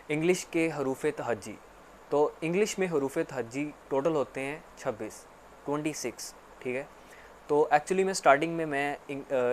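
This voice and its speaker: A man giving a casual spoken English lesson, repeating himself often and filling pauses with "actually". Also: medium pitch, a little hiss or hum. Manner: repetitive, filler-heavy explaining with frequent "actually". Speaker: English teacher.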